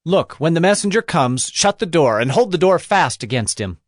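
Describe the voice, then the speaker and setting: A man's voice: a little high, big pitch swings, normal speed, dry recording, studio quality. A man playing a bold, stern prophet in a dramatized audio Bible, with a solemn, declamatory delivery. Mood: indignant, warning.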